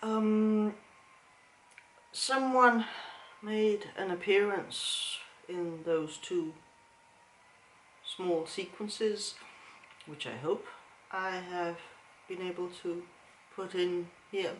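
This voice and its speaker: A woman talking slowly through a casual stitching vlog, rambling and pausing often with ums and uhs. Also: lowish voice, echoey room, slight background noise, poor audio. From Switzerland. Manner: slow and rambling, with many ums. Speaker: flosstube vlogger.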